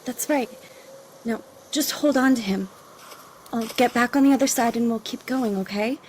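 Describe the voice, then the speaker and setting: An actress playing a protective, steadfast young woman urging a weary companion on in an audio drama, with gentle reassurance. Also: on the high side, moderate pace, slight background noise, lightly acted. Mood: urgent, encouraging. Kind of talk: cinematic audio drama acting.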